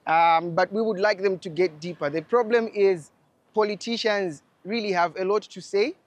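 A man's voice: sits high, normal speed, no room sound. A young man giving his opinion in a street interview. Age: young adult. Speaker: member of the public interviewed.

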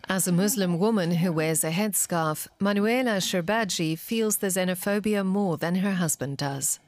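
A woman's voice: lowish voice, normal speed, darkish tone. A woman reading scripted narration as the narrator of a documentary.

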